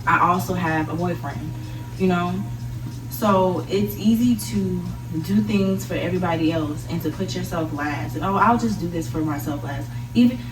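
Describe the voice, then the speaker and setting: A woman's voice: fairly low pitch, moderate pace. A young woman vlogger chatting casually to camera, giving an emphatic, intimate girlfriend-to-girlfriend pep talk. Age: young adult. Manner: emphatic, intimate pep-talk delivery, addressing listener as girl and baby. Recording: roomy echo, distant mic, music behind. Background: background music.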